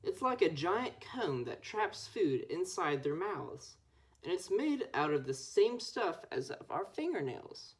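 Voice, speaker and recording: A woman's voice: fairly low pitch, very animated, unhurried, pitched above the performer's own voice. A young woman voicing an enthusiastic, scholarly male schoolteacher in a picture book. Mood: eager lecturing.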